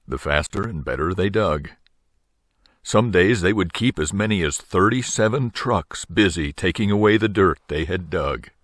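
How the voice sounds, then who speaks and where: Older guy reading a kids picture book out loud, low voice, very dry sound. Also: dry recording. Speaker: storybook reader. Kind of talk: children's story read-aloud.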